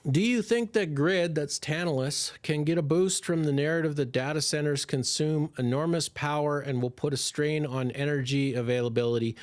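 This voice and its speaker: A chatty, excitable man hosts a live stock Q&A, his voice sitting a bit higher than most men's. He rambles and restarts mid-thought, and his voice is slightly worn and hoarse. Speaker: stock investing channel host. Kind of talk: livestream Q&A on stocks.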